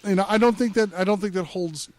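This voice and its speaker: A man's voice: sits high, fast, darkish tone, no room sound. A man on a studio podcast panel, arguing skeptically in casual, joking, crude banter.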